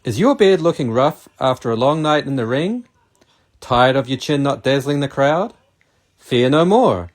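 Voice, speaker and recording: A man playing a punchy, over-eager announcer, delivering emphatic salesmanship in a parody radio commercial. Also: a little high.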